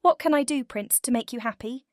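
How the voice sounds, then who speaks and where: A woman playing a gentle, sincere young heroine in a dramatized fairy-tale audiobook. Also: on the high side, fairly quick, no room sound, studio quality, pitched above the performer's own voice, lightly acted. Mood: earnest.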